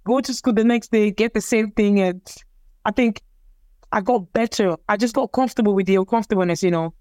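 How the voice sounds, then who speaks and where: A woman's voice: medium pitch, fairly quick, darkish tone, no echo. A young woman from Cameroon whose first language is French, telling her story in a casual interview, hesitant and repeating words with many fillers. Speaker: interviewee, athlete.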